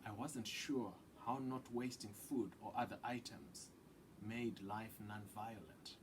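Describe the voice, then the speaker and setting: A man reading a children's picture book aloud with deliberate, dramatic phrasing that drops into hushed tones. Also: lowish voice, background noise. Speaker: read-aloud storyteller.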